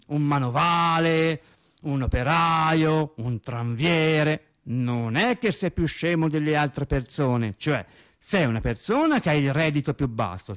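An actor playing a working-class man telling wry, naive childhood memories in a theatrical radio monologue, with dramatic pauses. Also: on the high side. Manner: animated storyteller, dramatic pauses and emphatic swings. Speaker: stage actor.

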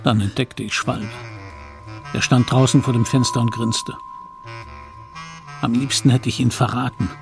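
A man's voice: medium pitch, steady tone, a little slow, gravelly, long pauses, music in the background. A man playing the narrator of a radio play, reflectively recalling his own boyhood with some regret. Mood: wistful. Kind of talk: scripted first-person narration in radio play.

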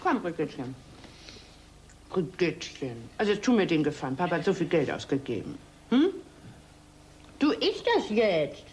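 Slow, swooping, exasperated mother. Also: fairly low pitch, big pitch swings, darkish tone. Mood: coaxing, exasperated. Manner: coaxing, exasperated. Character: exasperated, coaxing mother of a small girl. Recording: slight background noise, room ambience.

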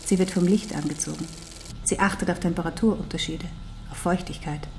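Radio drama role: non-human female mentor played by a woman, lower register, didactic, precise, patient but firm. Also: fairly even intonation, a little fast, darkish tone, slight background noise, close to an everyday voice. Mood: instructing. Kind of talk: German radio drama acting.

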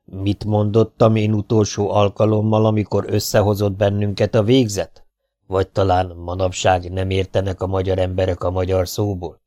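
A man voicing a proud, menacing old-time Hungarian warlord in a storybook reading, making solemn, booming threats. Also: little pitch movement, dark tone, natural voice. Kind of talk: audiobook character voice.

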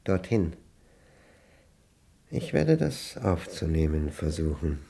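An actor playing an elderly, solitary man in a radio play, brooding and meticulous, speaking in halting, deliberate, musing phrases with a husky, gravelly voice. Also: rumbling low, big pitch swings, warm tone, heavily acted. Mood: musing, fascinated. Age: older. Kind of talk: radio play monologue, introspective.

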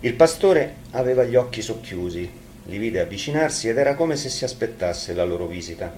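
A man's voice: medium pitch, sing-song, fairly quick, a little mellow, slight background noise. A man reading a fairy tale expressively, as a storyteller.

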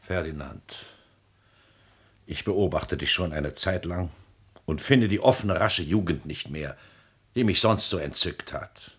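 Husky, imperious scheming nobleman. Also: low voice, measured pace, pauses often. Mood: reproachful. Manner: imperious, calculating, declamatory. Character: scheming, domineering aristocratic father and court minister. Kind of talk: classical German radio drama acting.

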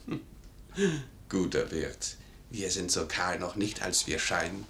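An actor playing a loyal, gruff, vengeful manservant in a 1950s German radio play, speaking bluntly and theatrically. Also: male voice, deep voice, steady tone, slow, bright, forceful tone, slight background noise, full character voice. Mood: scheming, muttering. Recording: roomy 1950s radio studio recording.

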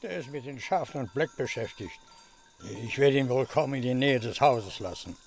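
An actor playing a rustic, deferential shepherd who hesitates a little, in a radio crime drama. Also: male voice, medium pitch, normal speed, soft background music, natural voice. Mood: obliging, uneasy. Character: rustic Sicilian shepherd, servile to mafia men.

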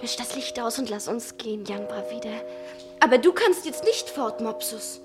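An actress in a German radio play playing a young runaway daughter, brisk, decisive and secretive. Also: female voice, on the high side, animated, over music, close to an everyday voice. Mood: hushed, urgent.

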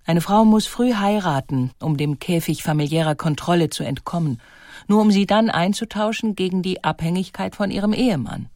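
A woman's voice: fairly low pitch, moderate pace, darkish tone, no room sound. Woman narrator with a dry studio sound. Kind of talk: scripted documentary narration.

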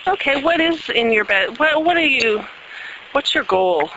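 A woman answering a phone prayer line in a brisk, matter-of-fact way. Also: sits high, expressive, sharp tone. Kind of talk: phone call with improvised spoken prayer. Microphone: telephone. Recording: dull phone recording, faint noise.

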